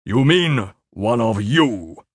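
A man voicing a commanding military leader in a fantasy audiobook, low, deliberate and menacing. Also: animated, no echo, clean studio sound. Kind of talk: dramatised fantasy audiobook dialogue.

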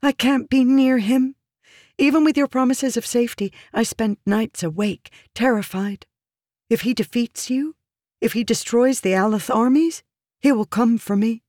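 A woman's voice: a little high, darkish tone, dry recording, studio quality, pitched above the performer's own voice, natural voice. A woman voicing a pleading, calculating noblewoman in an audiobook, intense and feminine. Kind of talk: audiobook character dialogue.

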